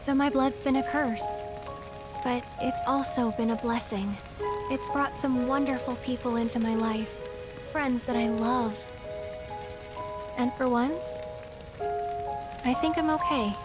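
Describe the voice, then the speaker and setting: A woman playing a gentle, wistful young woman in a video game cutscene, speaking in a measured, sincere way. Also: fairly high pitch, fairly even intonation, loud music behind the voice, natural voice. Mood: tender, hopeful. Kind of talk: heartfelt dramatic monologue in a video game cutscene.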